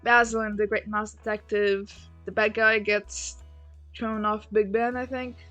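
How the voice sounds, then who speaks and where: A woman's voice: mid-range voice, little pitch movement, unhurried, fairly bright tone, soft background music. A woman whose first language is Portuguese, rambling casually and swearing now and then as she chats while building a toy set. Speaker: LEGO build vlogger.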